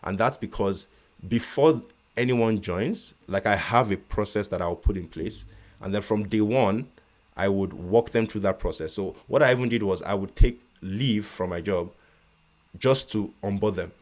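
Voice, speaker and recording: Very deep-voiced Nigerian man. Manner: measured, with frequent restarts and stutters. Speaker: guest, real estate investor. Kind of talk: casual interview conversation.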